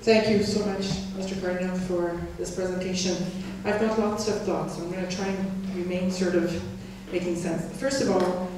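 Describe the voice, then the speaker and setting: A middle-aged woman from Montreal, a native Italian speaker, giving a personal comment from the audience with deliberate, emphatic phrasing. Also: lowish voice, little pitch movement. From Montreal, Canada. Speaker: audience member. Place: church hall. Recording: echoey hall, distant mic, faint noise.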